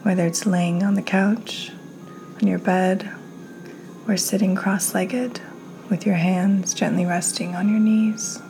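A woman hosting and guiding a meditation in a calm, gentle, soothing manner, her soft delivery often slipping toward a breathy near-whisper. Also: medium pitch, slow pace, soft background music, low-quality recording. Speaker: podcast host, meditation guide. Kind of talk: guided meditation, slow and soothing.

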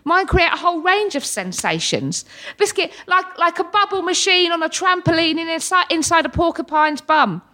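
British woman storyteller, very high lively voice. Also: normal speed. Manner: frequent involuntary vocal tics interjecting stray words mid-sentence. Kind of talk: live personal storytelling.

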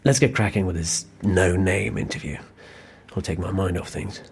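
A man's voice: low voice, normal speed, breathy edge, exaggerated voice. An actor playing a tired, hapless male office worker, sheepish and hesitant, in naturalistic audio drama dialogue. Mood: weary, sheepish.

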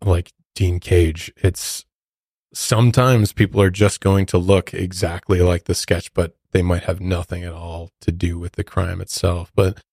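The low-voiced man you'd hear on a true-crime podcast, explaining cases from a dry, dead-quiet studio. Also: flat delivery, measured pace, husky, dry recording. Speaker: podcast co-host.

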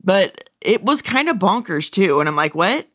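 A woman's voice: medium pitch, very animated, normal speed, a bit bright, no echo. Blunt, informal woman in a dry recording. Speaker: podcast co-host. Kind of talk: casual review chat.

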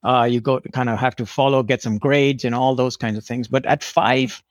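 A man in his thirties, originally from India, talks through his answers as an interview guest, often stopping and starting again. His voice has a middle pitch, and the recording is dry and close. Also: steady tone, normal speed, no room sound. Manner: hesitant, many restarts and fillers.

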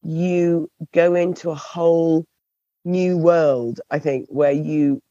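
A woman with a croaky, hoarse voice, answering interview questions thoughtfully and often repeating words as she searches for phrasing. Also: deep voice, steady tone, takes it slowly, dark tone, dry recording, muffled recording. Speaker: podcast guest.

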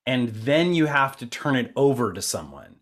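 A man's voice: medium pitch, very animated, normal speed. Reflective man hosting a conversational interview. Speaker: interviewer and co-producer, theater actor.

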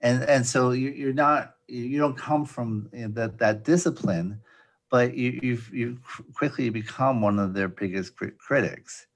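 A man hosting an interview, introducing his guest and asking questions in a halting way, with many ums and restarts. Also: mid-range voice. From Providence. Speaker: podcast host.

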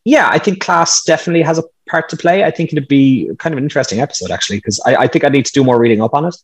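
A man's voice: fairly high pitch, racing, breathy edge. A man talking earnestly in a casual interview, explaining at length with some restarts. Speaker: podcast host, interview guest.

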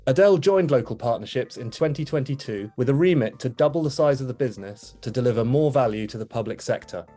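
A man hosting a business interview podcast, reading a formal introduction and then asking relaxed follow-up questions. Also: mid-range voice, fast talker, husky, soft background music. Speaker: podcast host.

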